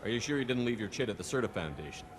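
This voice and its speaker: Game casting: male actor as an assertive, no-nonsense investigator, fast and fairly deep, over noticeable ambience. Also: animated, noisy background, close to an everyday voice. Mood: inquiring. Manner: direct, firm questioning. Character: assertive male military investigator hero, calm and direct.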